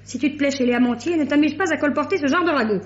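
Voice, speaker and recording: A fast, slightly high-voiced couture saleswoman, played by an actress, who scolds and gossips in quick bursts. She sounds like a figure from an old radio mystery. The recording is dull and faintly noisy. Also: close to an everyday voice. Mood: bossy chatter. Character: bossy, chatty woman working at a couture house. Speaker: fashion-house saleswoman. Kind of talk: 1950s French radio drama acting.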